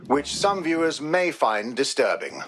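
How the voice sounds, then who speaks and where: A man's voice: mid-range voice, sing-song, measured pace, a bit bright. A man playing a formal television news anchor reading a report in a video game.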